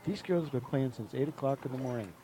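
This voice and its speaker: A man giving chatty, excitable live commentary on a sports match. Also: medium pitch, fast talker, crowd noise, poor audio.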